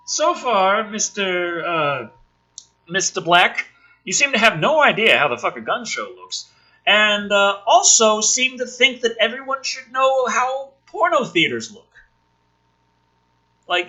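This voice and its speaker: A man's voice: high-pitched, big pitch swings, takes it slowly, long pauses, pushed and bright. A man reading a script aloud with sarcastic, exasperated, foul-mouthed commentary as the host.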